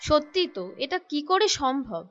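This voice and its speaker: A woman voicing a gentle, devout, poor village wife in a fairy-tale cartoon, sweet and earnest. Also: high voice, very animated, moderate pace, lo-fi sound, soft background music. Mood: disbelieving.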